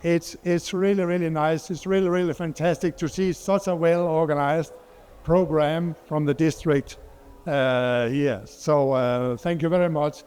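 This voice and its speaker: A middle-aged man from Denmark presents at a conference in English, his second language. His voice sits a little high for a man and comes across clean and resonant. He talks hesitantly, stopping often for fillers and restarting his sentences. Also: fairly even intonation, a little slow, a little mellow, clear, resonant tone. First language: Danish. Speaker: guest speaker, water expert.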